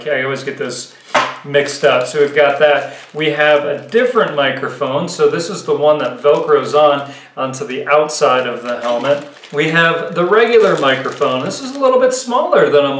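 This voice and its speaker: A man's voice: on the high side, fairly bright tone. A man in his thirties giving a casual, explanatory gear commentary, thinking aloud in an informal way. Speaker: gear reviewer. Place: indoors, home living room at a table. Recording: roomy echo, clear background noise.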